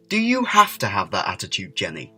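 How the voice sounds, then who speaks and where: A man playing a distracted, wry, brooding time traveller in an audio drama, speaking haltingly. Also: fairly low pitch, huge pitch swings, bright, forceful tone, slightly rough, soft background music, heavily acted. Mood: wry exasperation. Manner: halting, hesitant, full of uhs.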